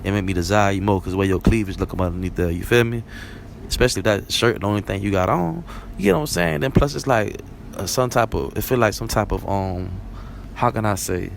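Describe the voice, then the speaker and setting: A man's voice: lowish voice, fast talker, creaky, slight background noise. Rambling Barbadian man, casual monologue. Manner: rambling, conversational, frequent "you know what I'm saying". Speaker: vlog host.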